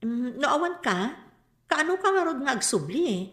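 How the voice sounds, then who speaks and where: An actress playing an eager, bickering wife out to borrow finery, with a Filipino Ilocano accent, in a radio comedy-drama. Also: female voice, high voice, big pitch swings, normal speed, roomy sound. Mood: hesitant, pleading.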